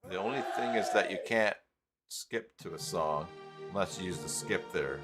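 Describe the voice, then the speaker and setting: A man hosting an unscripted live software tutorial, talking haltingly as he thinks aloud while working. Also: sits low, loud music behind the voice. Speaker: host of live tutorial stream.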